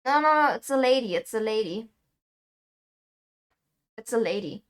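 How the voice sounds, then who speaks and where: A woman's voice: on the high side, lively intonation, normal speed, smooth. Chatty young woman streamer. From Germany. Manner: chatty and rambling, laughs often. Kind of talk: casual chat while playing a game.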